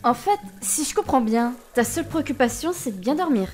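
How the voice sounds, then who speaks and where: A woman playing a talkative, expressive young potion student adventurer in an amateur fantasy audio drama, speaking informally. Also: sits high, fairly quick, slight background noise. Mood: chatty, curious.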